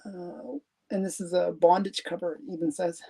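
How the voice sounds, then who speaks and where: Mellow-voiced Canadian woman rambling casually. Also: fairly low pitch, steady tone, vocal fry, breathy edge. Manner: rambling, halting, many fillers and restarts. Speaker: comic collector host. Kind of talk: casual live stream show-and-tell.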